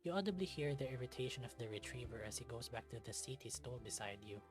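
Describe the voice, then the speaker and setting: Casting: story narrator for a visual novel let's-read, medium pitch, expressive reading, music underneath. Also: fast.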